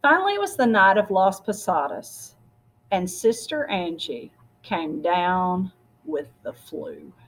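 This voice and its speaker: A woman with a slightly husky voice reads a picture book aloud, speaking directly to her listeners as a storyteller. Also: mid-range voice, very animated, takes it slowly, a little hiss or hum, low-quality recording. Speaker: story reader.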